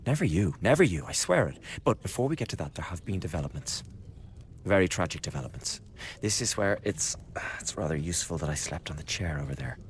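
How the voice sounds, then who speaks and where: An actor playing a glib, scheming young revolutionary in a radio drama, chatty and insinuating with a blackly flippant manner. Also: male voice, sits low, expressive, fairly quick, a little hiss or hum. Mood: conspiratorial, coaxing. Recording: studio radio drama with background ambience.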